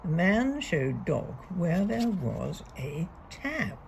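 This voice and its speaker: A woman reading a picture book aloud to young children, deliberately and expressively, leaning on key words. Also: lowish voice, big pitch swings, vocal fry, slight background noise. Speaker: storybook reader.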